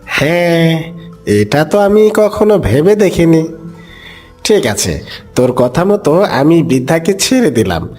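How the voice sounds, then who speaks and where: A man's voice: a little high, big pitch swings, slow pace, a little mellow, soft background music, full character voice. A man voicing a greedy, gullible fox villain in a cartoon animal fable, taunting and impatient. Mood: gloating.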